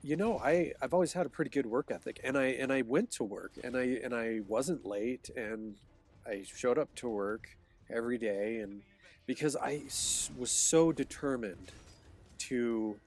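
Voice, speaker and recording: A man's voice: mid-range voice, measured pace, a little mellow, soft background music. Congested man rambling through his past. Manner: hesitant, many restarts and fillers. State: congested from a cold, sniffling. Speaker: vlogger recounting his past. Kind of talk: reflective personal monologue.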